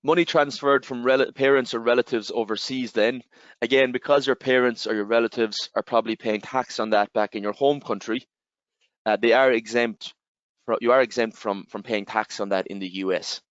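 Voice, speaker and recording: A man with an Irish accent, giving a webinar presentation and explaining step by step, with steady fillers and repeated "again". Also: medium pitch, normal speed, no echo. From Ireland. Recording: slightly dull, dry webinar audio.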